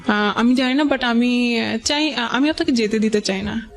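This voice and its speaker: A woman's voice: on the high side, moderate pace. A young woman with a Bangladeshi accent telling a personal story conversationally as a guest, hesitantly, with English words mixed in and quoted dialogue. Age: young adult. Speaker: guest sharing life story.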